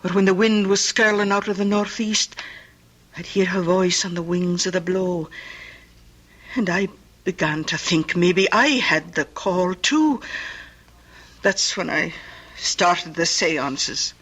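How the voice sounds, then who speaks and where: An actress playing a fond, lonely old Scottish aunt in a radio drama, speaking in a wistful, folksy storytelling way. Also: female voice, lowish voice, takes it slowly, pauses often, fairly bright tone. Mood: wistful, regretful. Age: older.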